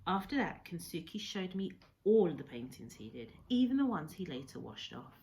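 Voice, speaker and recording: A woman reading a storybook aloud like a teacher to pupils, in a measured, expressive storytelling manner. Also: fairly low pitch, vocal fry. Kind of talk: read-aloud storybook narration.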